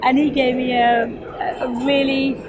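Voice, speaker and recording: A woman politician giving a reflective account in hesitant, measured phrases. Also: high voice, flat delivery. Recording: very noisy location with loud ambience.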